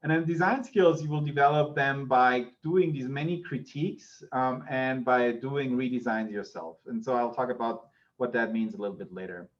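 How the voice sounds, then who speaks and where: A middle-aged Austrian man with a German-speaking background gives an informal university lecture. His voice sits at an ordinary male pitch, and the online audio is somewhat muffled. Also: normal speed. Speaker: university lecturer, associate professor.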